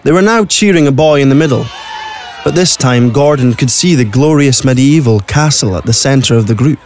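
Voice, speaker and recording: A man's voice: medium pitch, animated, moderate pace, a little mellow, slight background noise. A man reading scripted narration for an audio drama.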